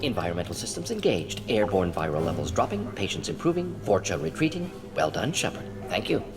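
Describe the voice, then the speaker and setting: An actor voicing an eccentric, pragmatic alien scientist for a video game, musing aloud in clipped staccato fragments with small words dropped. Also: male voice, lowish voice, sing-song, a little fast, over music. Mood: brisk. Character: fast-thinking eccentric alien scientist professor, brisk and pragmatic.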